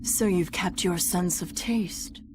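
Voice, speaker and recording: Slow, cool, lowish fantasy woman. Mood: cool, probing. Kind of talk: dramatic anime game voice acting. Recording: clear ambient noise behind.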